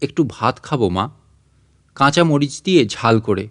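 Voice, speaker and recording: A man's voice: mid-range voice, expressive, measured pace, no echo, clean studio sound. A man voicing a sick little girl in an audiobook story, pleading in a childlike manner. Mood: weak, pleading. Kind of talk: audiobook character acting.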